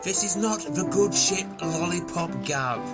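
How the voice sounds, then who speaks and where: Man playing a high-voiced, bossy ship's captain. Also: animated, measured pace, loud music behind the voice. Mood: commanding. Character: bossy, foul-mouthed self-appointed male ship's captain. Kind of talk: comedy audio drama acting.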